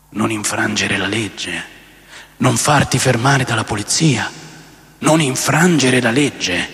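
A man playing a rock musician recalling wild tours in a radio drama monologue, conversational and coarse, with dramatic pauses. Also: fairly low pitch, gravelly, sharp tone, heavily acted. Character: adult male rock musician recalling wild touring days. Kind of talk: radio drama monologue, first-person storytelling. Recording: long reverb, music under voice.

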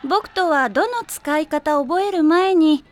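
A woman's voice: high voice, animated, unhurried. An actress playing a blunt, offhand teenage girl team manager in an anime drama CD. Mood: dry.

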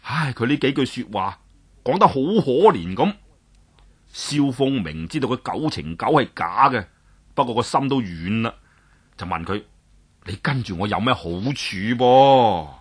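A man telling a traditional story, dramatic and expressive, swinging his pitch up and down. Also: normal speed, breathy edge.

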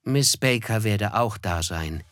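An actor playing a reflective, observant young gentleman narrating a literary German radio drama, with music behind him. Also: on the low side, animated, slow pace, no room sound.

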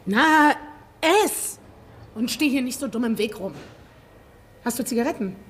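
A woman's voice: fairly high pitch, animated, unhurried. A blunt, foul-mouthed woman on probation. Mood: snappish. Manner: blunt, coarse, curt. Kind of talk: radio drama acting.

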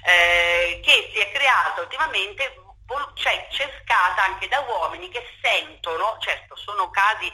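A woman's voice: mid-range voice, a little slow, sharp tone, low-quality recording. A woman speaking emphatically and wordily in a spontaneous interview over the phone, often checking for agreement. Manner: emphatic, impassioned, wordy, often seeks agreement. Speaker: theatre director being interviewed.

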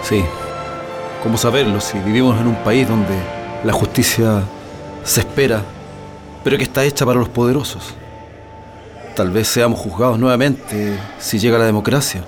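A man playing an earnest political prisoner in a radio drama, with a Chilean accent and halting speech. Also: lowish voice, over music, close to an everyday voice. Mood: weary, reflective. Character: earnest male political prisoner plotting an escape.

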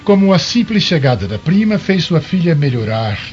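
A man's voice: fairly high pitch, big pitch swings, normal speed, darkish tone, faint music behind. A man acting in a radio drama as an effusive, theatrical Roman official. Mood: amazed. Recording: dull radio drama recording.

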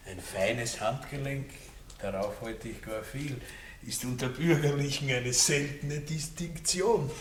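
An actor playing a boorish, vain Viennese baron in a radio play, pompous and condescending in a drawling way. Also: male voice, medium pitch, a little slow, natural voice. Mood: haughty. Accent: Viennese Austrian. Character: boorish, vain, lecherous Austrian baron bridegroom. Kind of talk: 1960s radio play acting. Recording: old, roomy, distant recording with hiss.

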